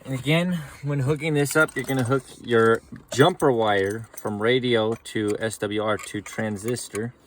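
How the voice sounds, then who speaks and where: A man giving a relaxed, folksy how-to walkthrough from inside a truck. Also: medium pitch, unhurried, hardly any pauses, slight background noise. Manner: relaxed, folksy explaining with casual slang. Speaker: mechanic demonstrating CB radio setup. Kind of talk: casual how-to narration.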